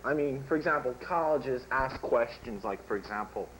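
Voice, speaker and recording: A man's voice: mid-range voice, moderate pace, fairly bright tone, slight background noise, low-quality recording. Rambling teenage boy interviewer. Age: teens. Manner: rambling, full of "like" and "um". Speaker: student interviewer. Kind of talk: improvised school TV sketch.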